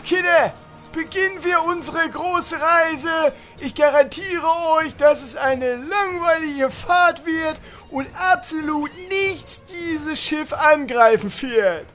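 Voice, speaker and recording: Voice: very high voice, slow pace, fairly bright tone, faint music behind, heavily acted. An actor playing a boisterous, overconfident expedition leader in a comedic fantasy audio drama parody. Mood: boastful. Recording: faint background noise.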